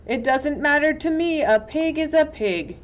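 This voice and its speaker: A woman's voice: sits high, animated, measured pace, pitched above the performer's own voice. A woman voicing a blustering, dismissive peasant father in a storybook. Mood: jovial, indifferent. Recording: dull, lo-fi with some background noise.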